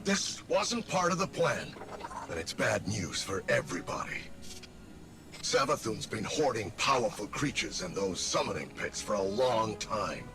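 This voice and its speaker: A man playing a gruff, exasperated alien ally in a video game, talking bluntly and urgently with heavy emphasis. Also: medium pitch. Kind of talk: dramatic video game acting.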